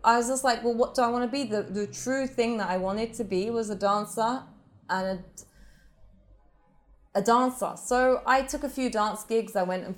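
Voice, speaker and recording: A woman's voice: on the high side, fairly even intonation, normal speed. A woman in her thirties, a professional belly dancer, telling her life story frankly and emphatically in an interview, often restarting her sentences. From England. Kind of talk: candid personal storytelling in an interview.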